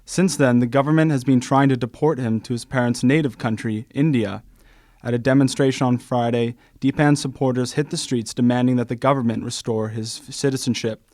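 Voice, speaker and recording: A man's voice: mid-range voice, steady tone, fairly quick, a little mellow, no room sound, clean studio sound. A man reading a scripted news introduction as a radio host. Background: music.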